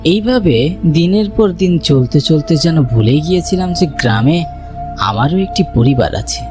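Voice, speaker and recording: A man plays a homesick village son telling his own eerie tale. His slightly high voice moves up and down expressively, reflective and dramatic, with music clearly playing behind it. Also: animated, moderate pace, close to an everyday voice. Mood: worried, reflective. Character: homesick village son, worried, impatient and dismissive. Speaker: narrator and main character. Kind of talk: dramatized first-person story narration.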